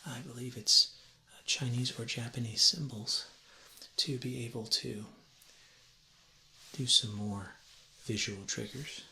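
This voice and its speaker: A man, perhaps in his thirties, gently and deliberately explaining things as a teacher in a soft-spoken ASMR roleplay lesson. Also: medium pitch, steady tone, gravelly. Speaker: ASMR creator playing a teacher. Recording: roomy echo with faint ambient noise.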